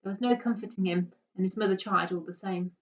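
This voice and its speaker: A woman reading a children's story aloud with expressive narration. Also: medium pitch, brisk. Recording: dull, distant microphone.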